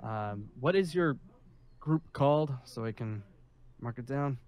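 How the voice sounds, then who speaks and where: A man playing an officious, somewhat awkward leader of a survivor haven in an improvised zombie roleplay, speaking formally with hesitant pauses. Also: mid-range voice, animated, a little mellow, smooth, lightly acted. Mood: awkwardly polite. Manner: formal and rule-minded, with hesitant pauses and sighs.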